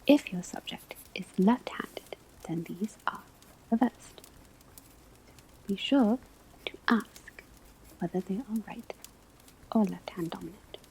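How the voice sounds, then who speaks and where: A woman playing a patient, formal witch-school professor in an ASMR classroom roleplay, whispering a measured, precise lecture. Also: mid-range voice, warm tone, slight background noise, poor audio. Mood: calm, instructive. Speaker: divination teacher in a roleplay.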